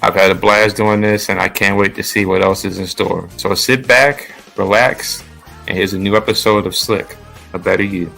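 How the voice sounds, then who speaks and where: Bright, upbeat podcast host, a man. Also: lowish voice, steady tone, normal speed, gravelly, faint music behind. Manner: upbeat, laughs often, loose phrasing. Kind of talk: casual interview podcast.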